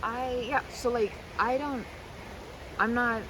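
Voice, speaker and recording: A woman chatting in a rambling, halting, earnest way, as if to a best friend in an ASMR roleplay, repeating herself and delivering things expressively. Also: fairly high pitch, steady tone, noisy background. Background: riverside park ambience.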